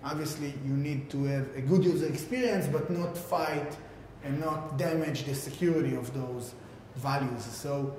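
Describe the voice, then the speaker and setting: Guy doing a halting tech pitch, super echoey reverby room, faint rumble. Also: a little mellow. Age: 30s. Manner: halting, filler-heavy delivery with repeated words. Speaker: tech company founder presenting a product. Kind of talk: informal keynote explanation to camera.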